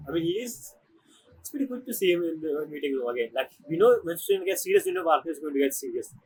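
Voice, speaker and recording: Male game streamer, tired, quick speech, informal halting commentary, slight background noise. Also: a little high, lively intonation, darkish tone, poor audio. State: tired, low on energy. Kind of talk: casual live gameplay reaction commentary.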